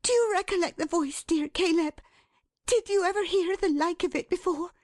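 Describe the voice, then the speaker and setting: A woman voicing an excitable, affectionate, flustered young wife in a storybook reading, breathless and emphatic. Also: sits high, a little slow, no echo, studio quality, pitched above the performer's own voice. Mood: joyful excitement. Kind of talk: dramatised classic storybook reading.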